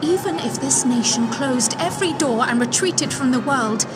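Earnest little scholar, higher-pitched. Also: female voice, lively intonation, moderate pace. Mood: impassioned. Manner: earnest, formal. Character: small, earnest, impassioned female scholar pleading before a council. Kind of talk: dramatic video game dialogue. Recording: loud game music underneath.

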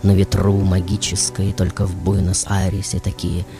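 A man playing a passionate aging tango composer in a poetic, theatrical radio monologue with dramatic pauses. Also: deep voice, monotone, voice pushed down. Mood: wistful, poetic. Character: passionate aging male tango composer looking back on his life. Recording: loud music behind the voice.

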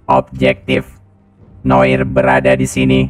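A man's voice: completely flat delivery, slow pace, soft background music. A man voicing a cold, menacing killer robot through a robotic voice changer, speaking in clipped, repeated machine phrases in a game roleplay. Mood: cold, mechanical. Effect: deep robotic voice changer.

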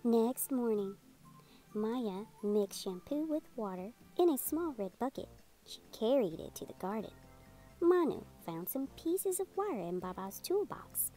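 A woman narrating a children's read-aloud in an expressive, deliberate storytelling manner. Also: a little high, poor audio. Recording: music behind the voice. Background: music.

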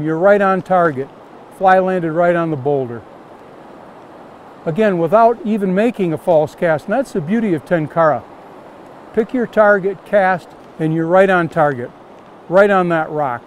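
A man's voice: high voice, a little mellow, a little hiss or hum. An older man teaching fly casting outdoors while standing in a river, giving plain, repetitive step-by-step instructions.

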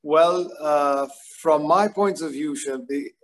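A man's voice: on the high side, slow. A man in his forties giving an informal personal remembrance on a video call, with hesitant, thoughtful phrasing. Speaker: guest, grandson giving remembrance. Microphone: video call device microphone.

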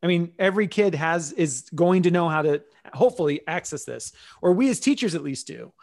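Emphatic man in his forties, highish voice. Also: lively intonation, a little fast, gravelly, darkish tone. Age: 40s. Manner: emphatic, posing rhetorical questions. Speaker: host. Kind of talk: casual discussion. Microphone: desk microphone on a boom, video call.

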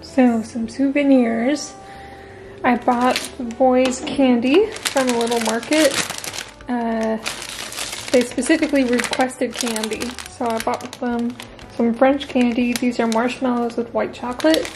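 Chatty, higher-voiced woman vlogging. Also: steady tone, a little slow, low-quality recording. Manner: chatty, upbeat. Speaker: vlogger, host. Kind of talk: casual home vlog narration and chat. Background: music.

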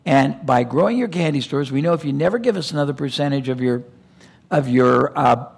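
An older man giving a keynote on a conference stage, talking in an animated way with quick digressions and restarts. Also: medium pitch, creaky, breathy edge. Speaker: keynote speaker. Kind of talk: conference keynote in seated interview format. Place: conference stage before a live audience.

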